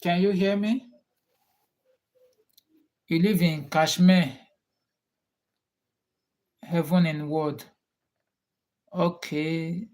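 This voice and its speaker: Nigerian guy chatting with his stream viewers, takes it real slow, asks the same thing twice. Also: on the high side, fairly even intonation, darkish tone. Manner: unhurried, repeats questions to chat viewers. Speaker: livestream host. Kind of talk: casual chat with viewers.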